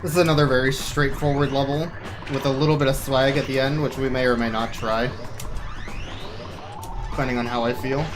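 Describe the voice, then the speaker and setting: A man talks casually through a live speedrun in a medium-pitched voice. He explains things as he goes with a wry edge, while game music plays clearly behind him. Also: steady tone, brisk, long pauses, rough recording.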